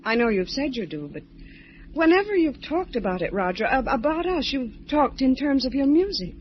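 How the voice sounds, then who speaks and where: Troubled wife, high expressive voice, pleading, hesitant, vintage radio melodrama, muffled recording with slight noise. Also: female voice, moderate pace. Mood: doubting, earnest. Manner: earnest, emotional, hesitant. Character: troubled, earnest married woman, lonely and pleading. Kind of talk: old-time radio drama acting.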